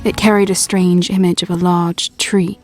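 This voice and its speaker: A woman's voice: lowish voice, flat delivery, normal speed, faint music behind. A woman reading scripted fairy-tale narration in a storybook storytelling manner.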